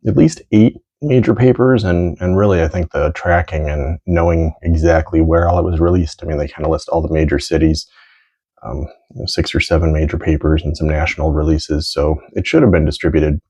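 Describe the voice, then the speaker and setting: A man's voice: extremely low pitch, steady tone, moderate pace, a little mellow, husky. A middle-aged American man rambling casually and thinking aloud as he presents collectibles, in a lo-fi home recording. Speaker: vintage sports card collector presenting items. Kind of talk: casual unscripted show-and-tell.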